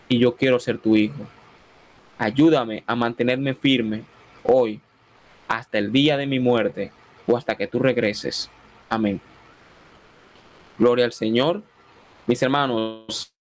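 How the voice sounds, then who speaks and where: A Dominican man with a Dominican Spanish accent who hosts a radio show and preaches with fervor. He calls out amen and glory to God, then leads a slow, solemn prayer for listeners to repeat. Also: medium pitch. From Dominican Republic.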